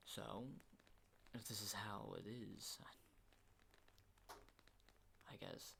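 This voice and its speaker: Casting: male actor as menacing, unhinged survivor for ASMR horror roleplay; whispery, creaky low voice, halting and intimate. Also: very animated, sharp tone, heavily acted. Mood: unhinged confession.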